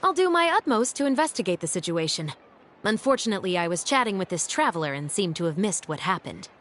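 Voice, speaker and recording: A woman's voice: medium pitch, big pitch swings, fast talker, fairly bright tone, a little hiss or hum. An actress playing a stern young woman officer who speaks in a level, dryly teasing way.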